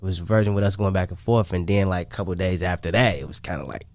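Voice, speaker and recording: Man, low-pitched, dry studio sound, casual interview guest, repetitive slangy delivery. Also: fast, a little mellow, no room sound. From Chicago, United States. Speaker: music artist and songwriter, interview guest. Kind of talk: casual radio interview talk.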